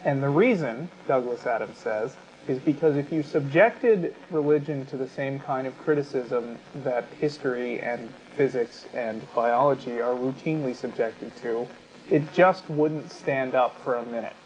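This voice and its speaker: A man co-hosting a talk show, giving unscripted commentary in a halting, self-correcting, argumentative way. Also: mid-range voice, darkish tone. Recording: dull sound with clear background noise.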